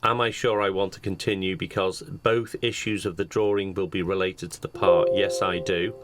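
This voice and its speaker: A man giving an unscripted software walkthrough, explaining each step methodically as a demonstrator. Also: sits low, steady tone, moderate pace. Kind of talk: screen-share tutorial narration, unscripted walkthrough. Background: computer keyboard typing.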